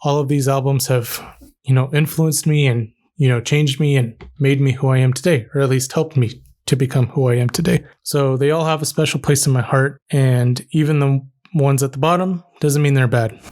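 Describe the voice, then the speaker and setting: Guy doing a solo podcast, medium pitch, just chatting casually and kinda rambling. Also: steady tone, moderate pace, darkish tone. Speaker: podcast host.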